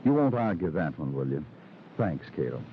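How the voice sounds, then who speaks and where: An actor playing a hardened, weary New York homicide detective in a 1950s radio crime drama, with dry, brooding, clipped phrasing. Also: male voice, extremely low pitch, big pitch swings, normal speed, heavy background noise. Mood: dry, pressing. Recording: muffled old radio recording with music.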